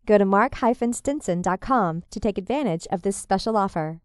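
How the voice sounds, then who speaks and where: A woman's voice: mid-range voice, animated, fairly quick. A woman announcer reading a scripted promotional spot. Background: music bed.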